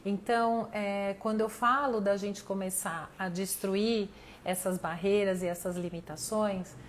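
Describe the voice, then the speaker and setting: A Brazilian woman speaks as a mentor leading an informal live lesson. Her pitch sits in an average female range. She addresses listeners directly and thinks out loud as she explains, her voice a little worn from talking. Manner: conversational, addresses the audience directly, thinks aloud. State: voice tiring, losing it slightly. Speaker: mentor hosting an online live class. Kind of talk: informal motivational teaching to camera.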